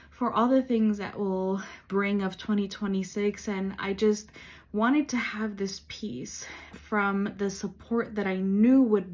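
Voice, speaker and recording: A woman in her late thirties talking in a personal faith vlog, earnest and reflective, with many pauses and fillers. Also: mid-range voice, darkish tone. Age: 30s. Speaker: vlogger.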